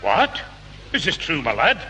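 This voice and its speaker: A man's voice: a little high, huge pitch swings, measured pace, bright, forceful tone, exaggerated voice. A man playing a stern older grandfather in a 1960s radio comedy. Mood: concerned, decisive. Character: gruff, sceptical grandfather of a schoolboy. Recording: muffled old radio recording, crowd behind.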